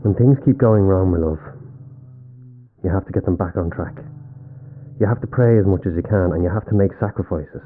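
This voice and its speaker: An actor playing a sinister boyfriend in a horror audio drama, coaxing and calmly menacing. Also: male voice, sits low, fairly quick, pauses often, narrow, tinny audio, natural voice. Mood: calm, ominous.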